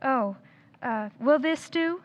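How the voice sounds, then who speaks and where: An actress playing a gossipy, folksy small-town shopkeeper in an old-time radio drama, with rural-sounding speech. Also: female voice, high voice, expressive, slow, highs cut off. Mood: nosy. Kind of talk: 1940s radio acting.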